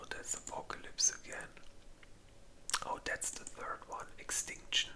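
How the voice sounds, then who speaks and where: A host speaking in a breathy, unvoiced whisper, rambling informally in a casual ASMR ranking video. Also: pushed and bright, low-quality recording.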